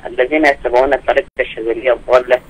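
A man's voice: medium pitch, little pitch movement, rapid-fire. A man calling in by phone, giving short, curt answers to the sheikh's questions. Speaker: caller. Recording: phone line with faint noise.

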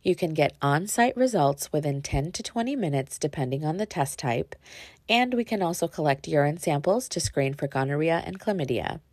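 A woman's voice: sits low, animated, normal speed, a little mellow, dry recording, studio quality. A woman, a youth development specialist, reading a scripted presentation over slides on a webcam.

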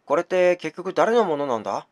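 Man acting a blunt male buddy, lively. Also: fairly high pitch, brisk, no echo, lo-fi sound. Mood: concerned. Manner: rough casual male speech. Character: blunt, brotherly male best friend. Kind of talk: game dialogue reading.

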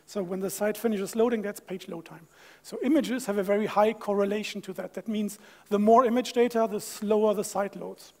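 A German-accented man in his thirties gives a conference talk from an auditorium stage. His voice sits high for a man, and he talks quickly and jokily, with plenty of ums. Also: fairly even intonation. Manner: friendly, joking, fast-talking with fillers. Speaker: conference speaker.

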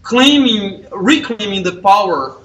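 High, bright-voiced man on a webcam. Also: animated, echoey room, poor audio. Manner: hesitant, lots of "uh" pauses. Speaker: painter and interviewer. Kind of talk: video call conversation. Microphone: video call webcam.

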